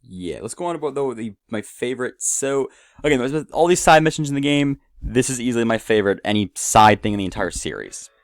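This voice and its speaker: A man's voice: mid-range voice, lively intonation, brisk, dry recording, studio quality. Male gameplay host, mid-range voice, loose rambling commentary full of tangents, music playing behind him. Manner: rambling, digressive, jumps between asides. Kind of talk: casual off-the-cuff gameplay commentary. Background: game music.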